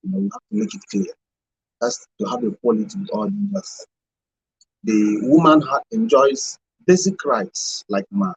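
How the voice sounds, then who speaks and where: A man's voice: on the high side, very animated, moderate pace, gravelly, breathy edge, no echo. A man with a Nigerian accent speaking as a radio panel guest, explaining earnestly and repeating points for emphasis. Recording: dull, band-limited radio sound.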